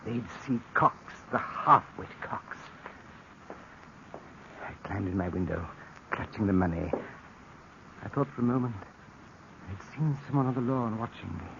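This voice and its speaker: A man narrating in the first person for an old radio drama, tense and breathy in places. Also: fairly low pitch, unhurried, pauses often. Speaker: narrator and lead. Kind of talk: 1940s radio acting. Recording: dull old radio recording with hiss.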